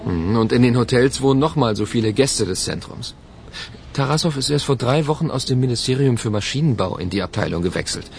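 A man's voice: mid-range voice, animated, fairly quick, a little mellow, slight background noise, close to an everyday voice. An actor playing a matter-of-fact, wryly gloomy male police detective in a radio play. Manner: matter-of-fact, clipped, wry.

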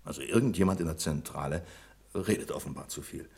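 An actor playing a suspicious, commanding bank boss in a 1970s radio play, speaking with emphatic authority and a rough voice. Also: male voice, low voice, fairly quick, a little mellow, heavily acted. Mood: wary, commanding.

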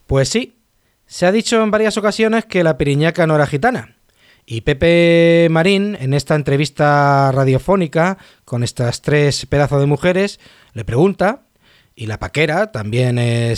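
A male radio host narrating and explaining an archival interview in a measured, clear way. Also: medium pitch, no echo, studio quality. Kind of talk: scripted narration.

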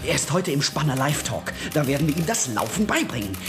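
An actor playing a domineering schemer and strategist, commanding and emphatic, in a radio drama. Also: male voice, a little high, big pitch swings, brisk, over loud music. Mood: boastful.

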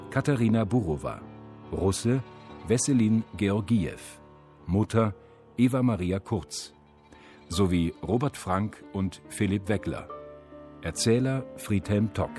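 A man reads radio drama credits as a formal announcer. His voice is very low and his delivery very slow, in short separate phrases over faint instrumental music. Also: a little mellow.

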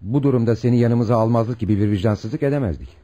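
A man's voice: mid-range voice, fast talker, mellow, highs cut off, lightly acted. An actor playing a protective, kindly family man, firm and reassuring, in a radio drama. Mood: warm, insistent.

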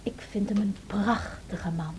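A woman's voice: medium pitch, a little slow, lightly acted. An actress playing a naive, lovestruck, easily distressed young lady in a radio drama, emotional and defiant. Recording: noisy old radio drama recording.

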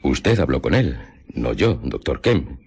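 Grave male investigator, Spain accent, radio drama. Very low, very husky, formal and methodical. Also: very animated, a little slow, darkish tone, full character voice. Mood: grave, measured. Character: grave male police investigator recounting a murder. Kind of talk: Spanish radio drama acting.

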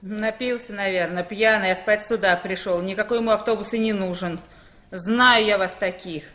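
A woman's voice: mid-range voice, steady tone, moderate pace, fairly bright tone, close to an everyday voice. An actress playing a grumbling, rule-bound woman in a 1960s radio play, scolding in a bossy, nagging manner.

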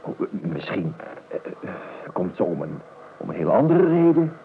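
An actor playing a nervous, evasive, eccentric gentleman in a radio play, stammering and repeating himself with ironic courtesy. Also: low voice, big pitch swings, moderate pace. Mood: hesitant. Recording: tinny, band-limited old radio recording with noise.

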